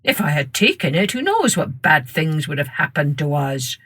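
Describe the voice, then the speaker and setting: A woman's voice: low voice, sing-song, normal speed, a bit bright, slightly rough, heavily acted. A woman voicing an honest, cautious male farmer in a children's story, earnest and plain-spoken.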